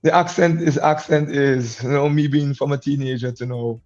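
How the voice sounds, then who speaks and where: A man's voice: medium pitch, fairly even intonation, moderate pace, darkish tone, dull audio, faint music behind. A middle-aged man with a Guyanese accent shaped by years in Antigua, a guest musician chatting in an easygoing way and laughing often. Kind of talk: casual interview chat.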